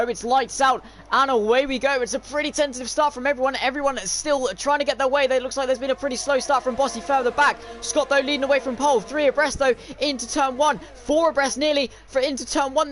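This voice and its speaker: High-pitched young man commentating on sim racing. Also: fairly bright tone. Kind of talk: live esports race commentary with casual chat.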